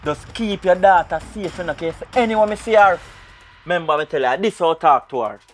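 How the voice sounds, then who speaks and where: A man's voice: high-pitched, a little fast, soft background music, natural voice. A man with a Jamaican accent acting a menacing, grieving brother bent on revenge, insistent and threatening, in a drama. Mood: bitter, threatening.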